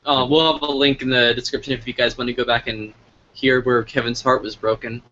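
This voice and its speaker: A man's voice: mid-range voice, flat delivery, fairly quick, sharp tone. An American man interviewing a guest in a casual, chatty style. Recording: lo-fi audio with clear background noise.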